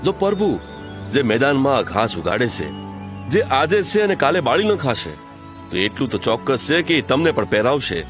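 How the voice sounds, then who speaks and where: A man with a Kathiyawadi Gujarati accent playing Jesus as a calm, authoritative teacher in an audio drama, speaking in a measured, earnest way. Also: mid-range voice, music in the background, natural voice. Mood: earnest teaching. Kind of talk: audio drama acting, preaching.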